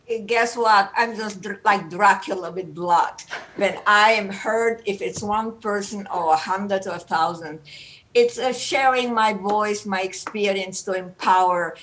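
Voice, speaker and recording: Older woman, energetic off-the-cuff speaker who rambles and repeats. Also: mid-range voice, a little slow, a little hiss or hum, low-quality recording. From Romania. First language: German. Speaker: guest speaker. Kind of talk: unscripted motivational talk.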